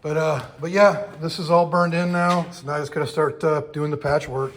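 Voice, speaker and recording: A hoarse man who is losing his voice after being sick, talking casually through a repair job in his home garage, rambling with lots of fillers. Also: fairly high pitch, fairly even intonation, brisk, fairly bright tone. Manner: rambling, easygoing, many fillers. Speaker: host of a home auto repair channel. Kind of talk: casual talk-through of a shop project.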